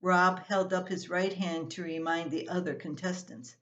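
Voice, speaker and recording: An older woman reading a scripted, humorous family column aloud as its writer, in an expressive storytelling manner. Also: fairly low pitch, moderate pace, lo-fi sound. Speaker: columnist reading own writing.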